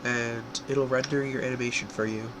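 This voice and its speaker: A young man with a stuffy, congested voice, talking casually through a screen-recorded software tutorial, full of fillers and quick self-corrections. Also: medium pitch, fairly even intonation, noisy background. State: congested from a cold. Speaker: tutorial creator.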